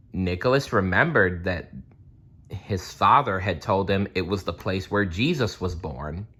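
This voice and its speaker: Man, storyteller, children's read-aloud, slightly low pitch, crisp bright delivery.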